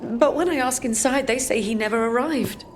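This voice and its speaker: An actress playing a worried, grieving wife in a video game, speaking haltingly and with emotion. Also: female voice, on the high side, slight background noise, close to an everyday voice. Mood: anxious, pleading. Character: worried wife searching for her missing husband, grief-stricken. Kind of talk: video game drama acting.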